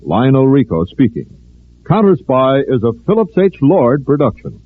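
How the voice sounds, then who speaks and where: A man's voice: medium pitch, sing-song, normal speed, slight background noise. A man reading closing credits as a 1940s radio drama announcer. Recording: tinny phone-like vintage radio recording.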